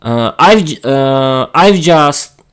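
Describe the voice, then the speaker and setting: A man in his thirties from East Slovakia with a Slovak accent, hosting his own podcast in halting learner English full of restarts. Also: mid-range voice, expressive, clear, resonant tone. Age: 30s. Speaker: podcast host. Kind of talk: solo podcast monologue.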